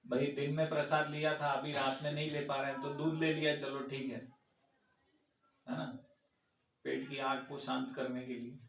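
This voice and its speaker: A man's voice: medium pitch, little pitch movement, normal speed, creaky, pauses often, a bit bright. A man leading an informal question-and-answer discourse in a temple hall, talking conversationally and often checking that listeners agree. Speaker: devotee speaker answering audience questions. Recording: echoey room, far from the mic, faint hum.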